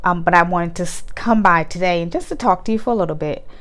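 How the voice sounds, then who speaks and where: A woman's voice: lowish voice, fast talker, a bit bright. A woman money coach, stuffy-nosed from a cold, talking straight to the viewer in an earnest, encouraging way and asking them lots of questions. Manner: earnest, asks the listener many questions. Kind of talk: direct-to-camera coaching talk.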